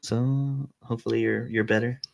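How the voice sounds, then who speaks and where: A man's voice: mid-range voice, steady tone, normal speed, vocal fry. A man hosting a casual podcast, rambling through a TV episode with lots of fillers and restarts. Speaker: podcast host. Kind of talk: casual chat about a TV episode.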